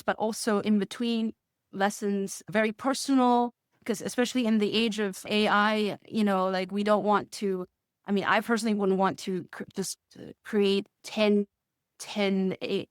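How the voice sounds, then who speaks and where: A woman's voice: medium pitch, flat delivery, a little slow, clear, resonant tone, dry recording, clean studio sound. A woman answering interview questions conversationally, with an enthusiastic manner and frequent chuckles as she explains things. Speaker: music course student giving a testimonial.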